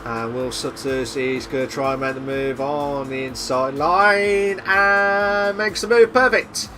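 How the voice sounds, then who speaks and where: A young man doing live sim racing commentary, excitable and repetitive, with a voice he says he is losing. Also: medium pitch, slow pace, music in the background. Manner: excitable, repeats himself, shouts at big moves. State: losing his voice.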